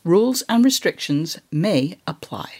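Steady, smooth ad read. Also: mid-range voice, measured pace. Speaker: ad reader. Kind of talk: read advertisement.

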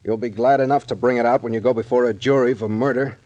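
An actor playing a stern, suspicious police captain in 1940s radio style, with a blunt, commanding way of questioning. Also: male voice, medium pitch, fairly even intonation, fast, a little mellow, no echo, lo-fi sound, lightly acted. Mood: sharp, commanding.

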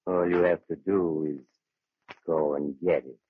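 Very deep, sinister storyteller. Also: male voice, steady tone, a little hiss or hum. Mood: suavely ominous. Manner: measured, theatrical, suavely menacing. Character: sinister, courteous doctor telling eerie tales. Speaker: storyteller host of a horror radio series. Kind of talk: 1940s radio horror narration. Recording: dull old-time radio recording.

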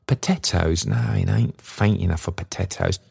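A man's voice: low-pitched, sing-song, moderate pace, creaky, a little mellow, breathy edge, full character voice. A man voicing a kindly, poor old working father in a storybook, musing cheerfully in folksy, rambling speech. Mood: musing, savouring. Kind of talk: storybook character dialogue.

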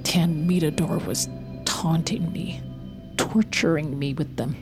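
Slow, low-voiced haunted woman narrator. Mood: numb, bitter. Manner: measured, slow, introspective. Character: haunted, defeated woman recounting her lonely search. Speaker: narrator and lead character. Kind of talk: scripted first-person narration, audio drama. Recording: prominent music under studio narration. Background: loud music.

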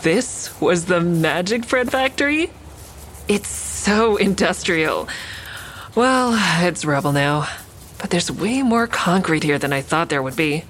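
A woman's voice: on the low side, expressive, takes it slowly, fairly bright tone, a little hiss or hum. An actress playing a curious young woman who narrates her story in an intimate, wry way in an audio drama. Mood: playful teasing. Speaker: narrator and lead character. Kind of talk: audio drama first-person narration and natural dialogue. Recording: faint music behind the voice.

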